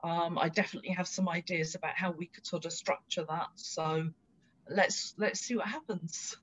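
A woman's voice: fairly low pitch, steady tone, normal speed, fairly bright tone, lo-fi sound. A woman with an Irish accent, a guest speaking in a casual, encouraging interview chat. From Ireland.

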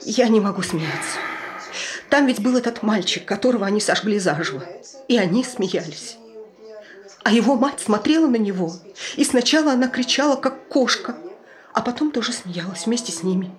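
A woman's voice: a little high, animated, normal speed, lightly acted. An actress playing a frightened, easily panicked mother and wife in a naturalistic stage drama, speaking in short, hesitant, earnest phrases. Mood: frightened, distressed.

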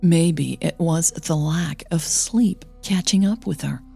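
A woman's voice: low voice, slow, vocal fry, mellow, husky, faint music behind. A woman reading scripted narration for an audio fiction story.

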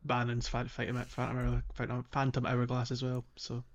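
A man's voice: mid-range voice, monotone, moderate pace. A man co-hosting a podcast in casual conversation about video games, on a slightly dull recording. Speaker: podcast co-host. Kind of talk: casual two-man video game discussion.

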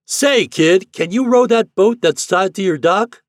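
Storybook riverboat captain, played by a male reader: hearty, roaring, pitched up high, dry sound. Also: big pitch swings, moderate pace, a little mellow, husky, no room sound. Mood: hearty calling. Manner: roaring, calling out through a trumpet. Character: jolly, booming riverboat captain, hearty and kind.

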